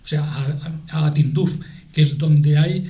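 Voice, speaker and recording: A middle-aged man with an accent from Spain, explaining things in a TV debate in a halting way, repeating and rephrasing as he goes. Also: a little high, mellow. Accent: Spanish from Spain. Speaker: guest, aid association spokesman. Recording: echoey room.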